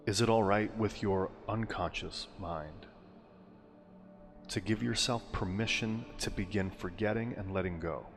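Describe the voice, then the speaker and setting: Male meditation narrator, very low register, calming and unhurried, pausing between phrases, drenched in long reverb. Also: creaky. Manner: soothing, unhurried, deliberate phrasing with pauses. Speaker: hypnosis and meditation guide. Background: music.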